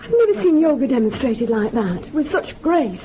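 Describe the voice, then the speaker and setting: A woman's voice: high-pitched, sing-song, normal speed. A woman playing an emotional, doting and reverent yoga teacher with a theatrical manner in a radio drama, on a tinny recording. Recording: tinny band-limited radio recording, faint noise.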